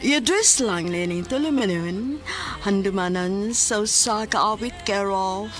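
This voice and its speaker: A woman's voice: mid-range voice, slow. A woman with a Cebuano Filipino accent delivering scripted announcements as host of a radio drama. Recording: soft music bed behind announcer.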